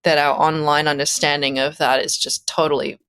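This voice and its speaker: Low-voiced Australian woman chatting. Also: flat delivery, a little fast, a bit bright, smooth, no echo. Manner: chatty, laughs often, restarts mid-sentence. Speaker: podcast guest, musician. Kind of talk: casual conversation.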